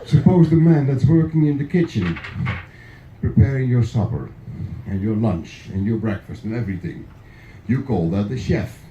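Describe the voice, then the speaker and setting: Dutch man, clog maker, jokey, chuckling, lively swinging pitch, roomy dull-sounding recording. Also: normal speed, a little hiss or hum. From Netherlands. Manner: jokey, chuckling between remarks. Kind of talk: live craft demonstration for visitors.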